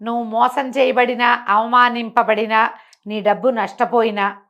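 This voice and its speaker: High-voiced woman explaining moral lessons. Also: level tone, measured pace, fairly bright tone, dull audio. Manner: didactic, emphatic, asks rhetorical questions. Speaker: storyteller explaining moral teachings. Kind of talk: conversational moral discourse.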